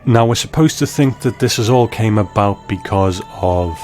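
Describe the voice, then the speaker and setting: A man's voice: lowish voice, moderate pace, darkish tone. A man giving scripted review commentary as a narrator. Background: music bed.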